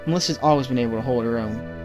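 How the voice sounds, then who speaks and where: A man's voice: medium pitch, rapid-fire, music in the background, natural voice. A man acting in an audio drama as a concerned, urgent young man with a serious, direct manner. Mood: grave, urgent.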